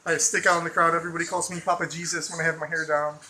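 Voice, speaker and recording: A man's voice: a little high, flat delivery, very fast, sharp tone. An American man from the Chicago suburbs vlogging in a loose, profane way, full of "like" and "you know". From Chicago suburbs, USA. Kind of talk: casual rambling vlog talk.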